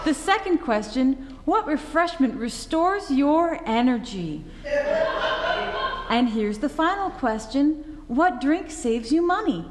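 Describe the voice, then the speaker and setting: A woman as a perky high-voiced radio pitchwoman. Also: animated, takes it slowly, noisy background. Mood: chipper selling. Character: perky, chipper radio advertising announcer woman. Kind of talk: old-time radio commercial acting. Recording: stage hall recording with reverb.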